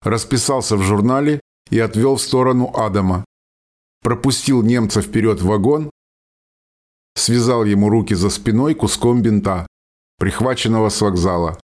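A man's voice: on the low side, moderate pace, a little mellow. A man reading scripted dramatic narration as the narrator of a radio play. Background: music.

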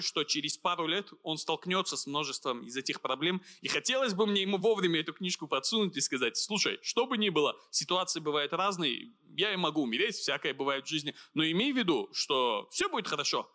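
Man, thirties, from the Caucasus, bright clear voice, a bit high, earnest, reflective, hesitant. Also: lively intonation, a little fast, hardly any pauses. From Dagestan. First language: Avar. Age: 30s. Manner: earnest, thoughtful, hesitant with fillers. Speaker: guest writer. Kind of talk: reflective interview conversation.

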